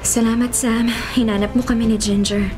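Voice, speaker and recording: A woman's voice: on the high side, flat delivery, moderate pace, over loud music, close to an everyday voice. A young woman acting a willful college-age mayor's daughter in a Filipino radio drama. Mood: pleading, earnest. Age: young adult.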